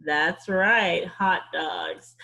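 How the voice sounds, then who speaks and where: A woman teacher leading an interactive read-aloud for young children, playful and animated, often asking questions, with a slightly husky voice. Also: fairly low pitch, very slow.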